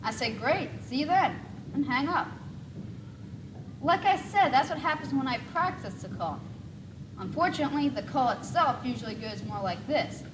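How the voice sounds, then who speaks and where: A high, youthful voice gives us an anxious schoolboy acted by a young student who dreads rejection. It is a school stage monologue. The old 1980s video recording has clear noise over it. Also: lively intonation, moderate pace. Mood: anxious, rueful. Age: young teenager. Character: nervous lovesick schoolboy afraid of rejection. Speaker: student actor in a school one-act play.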